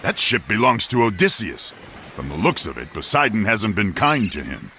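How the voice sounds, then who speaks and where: An actor playing a blunt, wry warrior companion with a gravelly voice in a video game cutscene. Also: male voice, lowish voice, wildly swooping pitch, moderate pace, slight background noise, heavily acted. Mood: dry. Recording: loud music behind the voice.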